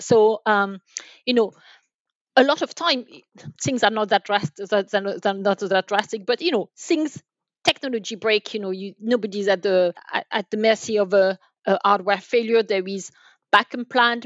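Halting woman guest, dull close recording. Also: medium pitch, no echo. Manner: halting, repeating and rephrasing phrases. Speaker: guest, enterprise sales manager. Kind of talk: conversational podcast interview, explaining.

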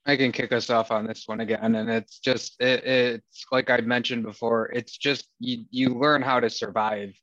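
A man's voice: medium pitch, steady tone, normal speed, hardly any pauses, fairly bright tone, dry recording. A man with a Wisconsin accent, speaking as a guest in candid talk with a sarcastic, dry wit. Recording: dull lo-fi sound, dry.

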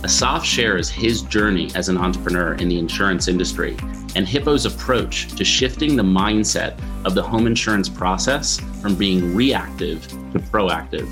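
A man's voice: sits low, normal speed, music in the background. A man hosting a podcast, reading an intro and then asking friendly questions. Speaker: podcast host. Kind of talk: scripted intro and interviewing.

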